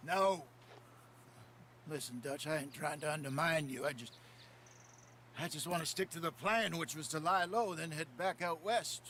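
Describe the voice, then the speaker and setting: An actor playing a cautious, world-weary older outlaw in a video game, speaking calmly and pleadingly. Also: male voice, a little high, moderate pace, long pauses, slight background noise, natural voice. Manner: calm, pleading, reasonable. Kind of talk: video game cutscene dialogue.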